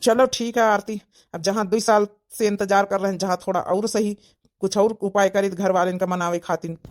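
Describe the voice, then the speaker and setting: A woman's voice: medium pitch, fairly even intonation, normal speed, a little mellow, natural voice. A woman playing a wounded, pressing young village suitor in a cartoon drama, pleading insistently with an Awadhi accent. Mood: hurt, pleading. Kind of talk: cartoon comedy-drama dialogue in village dialect.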